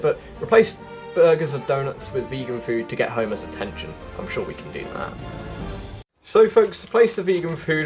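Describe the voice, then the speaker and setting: A man giving casual, chatty game walkthrough commentary, addressing his viewers directly as he goes. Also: medium pitch. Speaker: gaming video host.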